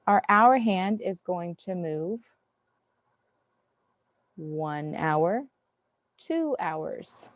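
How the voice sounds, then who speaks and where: A woman's voice: lowish voice, lively intonation, drawn-out words, long pauses, no room sound, muffled recording. A woman teaching an online math class, counting through problems step by step. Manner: patient, step-by-step counting aloud. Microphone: video call.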